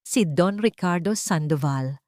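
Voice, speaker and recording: A woman's voice: fairly low pitch, lively intonation, measured pace, a little mellow, no echo, studio quality. A woman reading a scripted dramatic love story as narrator.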